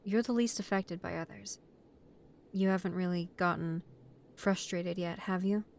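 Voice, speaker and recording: A woman's voice: lowish voice, fairly even intonation, a little slow, creaky, a little mellow. A woman playing an exasperated, bossy young vampire college student in a scripted roleplay audio drama, talking in an organized, matter-of-fact way like someone chairing a meeting.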